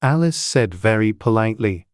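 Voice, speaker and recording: A woman's voice: a bass voice, expressive, normal speed, darkish tone, no room sound, studio quality. A woman reading scripted storybook narration as an audiobook narrator.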